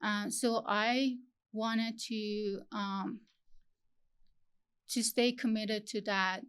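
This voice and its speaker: A young woman from Iran, talking as an interview guest, halting and searching for her words with many fillers. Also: mid-range voice, fairly even intonation, fairly bright tone, clean tone. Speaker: guest, PhD student. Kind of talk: interview conversation.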